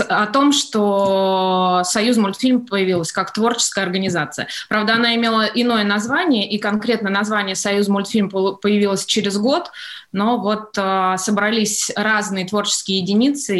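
Woman, radio interview guest, average pitch, explanatory, halting phrasing, unscripted answers. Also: steady tone, fairly bright tone. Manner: hesitant, explanatory, pieces answers together. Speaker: interview guest, studio executive.

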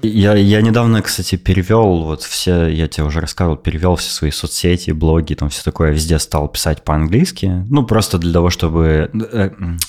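A man's voice: low-pitched, normal speed, few pauses, a little mellow, soft background music. A young man co-hosting a podcast, chatting casually and rambling with frequent hesitations and restarts. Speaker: podcast co-host. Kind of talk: casual chat.

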